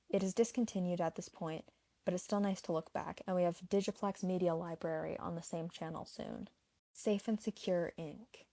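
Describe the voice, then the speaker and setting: A woman's voice: on the low side, warm tone, dry recording. A woman narrating a scripted explainer, adding her own opinions as she goes. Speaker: narrator of a video essay.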